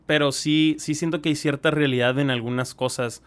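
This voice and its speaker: Brief: Mexican co-host, mid-pitched voice, crude slangy banter that gets animated in retellings. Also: male voice, moderate pace. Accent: Mexican Spanish. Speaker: podcast co-host. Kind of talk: casual chat.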